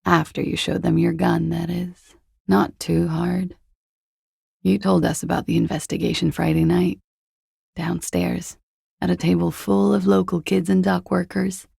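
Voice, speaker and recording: A woman playing a cool, evasive young former beauty queen in a video game, speaking in a languid, dry, sardonic way with a husky, breathy voice. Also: lowish voice, level tone, a little slow, a little mellow, dry recording, exaggerated voice. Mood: coolly sardonic. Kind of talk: video game dialogue, low-key and naturalistic.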